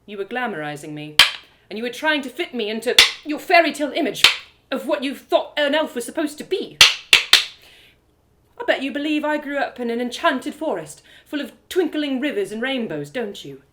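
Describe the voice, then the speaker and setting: A proud young elf warrior woman, performed by a female storyteller for a fantasy audio drama. She is spirited and lectures with emphasis, and her pitch swoops in a lively way. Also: normal speed, heavy background noise. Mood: teasing, indignant.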